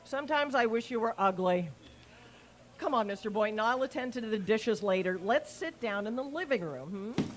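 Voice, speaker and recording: A woman's voice: fairly high pitch, lively intonation, moderate pace, natural voice. A woman playing a wry, lovestruck, scheming schoolteacher in a vintage radio sitcom, arch and gushing with endearments. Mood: wry, coaxing. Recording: noisy live raw event recording.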